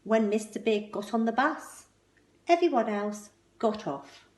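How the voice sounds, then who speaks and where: A woman reading a children's picture book aloud expressively, with measured, emphatic phrasing and pointed pauses. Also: fairly high pitch, a little mellow. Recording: roomy, echoing indoor sound.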